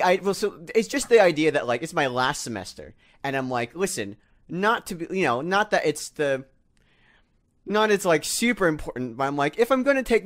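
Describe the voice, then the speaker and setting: A young man with a fairly high voice and very lively intonation talks casually on a podcast. He rambles along and pokes fun at himself. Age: 20s. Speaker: podcast co-host. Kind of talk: casual group chat.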